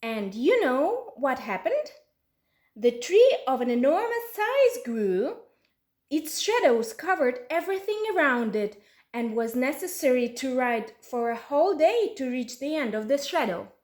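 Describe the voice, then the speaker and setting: Woman acting clever young girl, Uzbek accent, bold, high lively pitch, storybook tale, echoey room. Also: unhurried. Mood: excited, boastful. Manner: assertive, animated. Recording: roomy, echoey sound.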